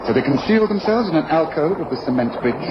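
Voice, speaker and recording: A man playing a brisk, observant, commanding gentleman detective in a radio drama, speaking in an analytical, precise way. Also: on the high side, expressive, natural voice. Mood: keen, deductive. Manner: analytical, precise, deliberate, authoritative. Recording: muffled, faintly noisy radio drama recording.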